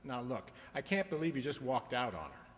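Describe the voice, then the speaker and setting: Guy doing an incredulous nosy pal in a radio play, swoopy highish voice, echoey hall. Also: very animated, a little fast, lightly acted. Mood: curious, teasing. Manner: hesitant, disbelieving. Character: incredulous, nosy male friend of the husband. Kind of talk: amateur radio drama acting. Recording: echoey hall, faint background noise.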